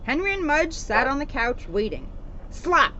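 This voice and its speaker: A woman reading a children's storybook aloud in an animated, expressive way, with emphasis on the sound words. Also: sits high, a little slow. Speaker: storybook reader, police officer. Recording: noisy, dull outdoor recording.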